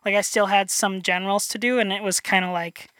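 A young man from North Dakota with a high voice, chatting as an interview guest, rambling and self-deprecating with many restarts. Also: fairly even intonation, a little fast, sharp tone, no room sound. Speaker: illustrator guest. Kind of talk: casual interview chat.

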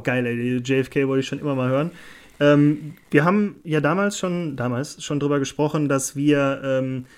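A young man chats casually as a podcast co-host, rambling and joking in colloquial slang. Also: a little high. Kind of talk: casual unscripted podcast chat. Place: small hotel room.

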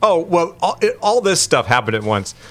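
A man casually chatting and joking while playing a video game on a podcast, reacting loudly to the screen. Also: a little high, moderate pace. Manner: jokey, animated reactions. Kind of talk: casual chat while gaming.

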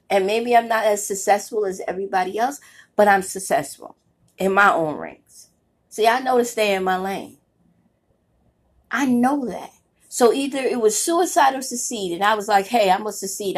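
A woman's voice: on the high side, husky. A woman hosting a motivational podcast, emphatic and repetitive, sounding congested and nasal from a cold. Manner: emphatic, preachy, repeats phrases for effect. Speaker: podcast host and author.

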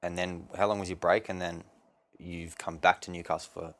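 A man hosting a podcast, asking questions in a relaxed, hesitant way with many fillers. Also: low-pitched, moderate pace. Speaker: podcast host. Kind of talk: casual podcast interview.

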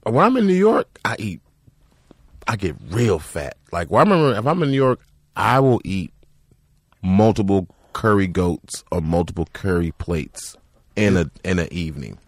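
A man hosting a casual comedy podcast, animated and joking, swearing freely. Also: low-pitched, normal speed, no room sound, studio quality. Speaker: podcast host.

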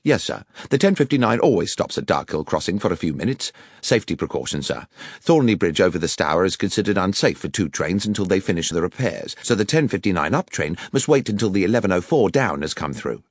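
Man playing deferential, low-voiced railway station worker. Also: sing-song, fairly quick, slightly rough, dry recording, studio quality, heavily acted. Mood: respectful, certain. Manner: plain-spoken, respectful, clipped phrasing.

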